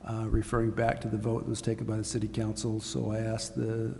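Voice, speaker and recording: A man speaking as a city official at a public meeting, explaining in hesitant, deliberate phrases. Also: fairly low pitch, monotone, gravelly, dark tone. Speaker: city administrator. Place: council chambers. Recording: reverberant hall with faint noise.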